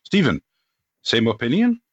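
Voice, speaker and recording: A man hosting a casual radio panel discussion over a remote call, relaxed and hesitant, with frequent fillers. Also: mid-range voice, unhurried, slightly rough, highs cut off. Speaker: radio host.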